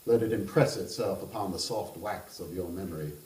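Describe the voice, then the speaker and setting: A man voicing a jovial, decadent Kentucky gentleman in a story reading, with a Kentucky accent and a languid, teasing drawl. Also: low voice, a little fast, natural voice. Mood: indulgent. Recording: roomy sound, distant mic.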